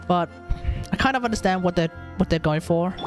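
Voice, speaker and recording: A man's voice: high voice, brisk, soft background music. An Australian man giving casual, rambling game commentary on a livestream, often talking to himself.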